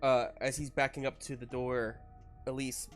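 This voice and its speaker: A man running a tabletop roleplaying game as game master, narrating off the cuff in a halting, informal way. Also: medium pitch, flat delivery, soft background music.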